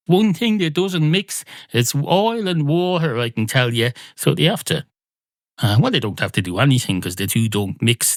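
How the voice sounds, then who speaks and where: A muddled barman, acted out by a man in a comic story. He rambles and repeats himself pedantically in a medium-pitched voice, close and dry. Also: no room sound, natural voice.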